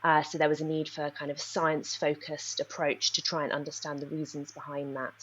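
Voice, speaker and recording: Young woman researcher from Nepal, deep voice, slightly muffled audio. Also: little pitch movement. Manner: thoughtful, many ums, talks fast in bursts. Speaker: researcher, guest. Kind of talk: podcast interview.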